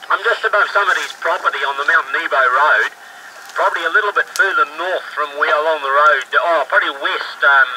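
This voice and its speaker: A man's voice: on the high side, a little fast. A man, an amateur radio operator, chatting casually over a two-way radio. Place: radio link, heard outdoors. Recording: noisy FM radio through outdoor speaker.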